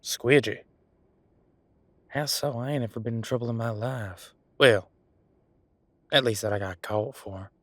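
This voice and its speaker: A man playing an affectionate, easygoing werewolf bodyguard in an intimate audio roleplay, talking in a casual, folksy, halting way. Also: medium pitch, steady tone, a bit bright, no echo. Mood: puzzled, defensive.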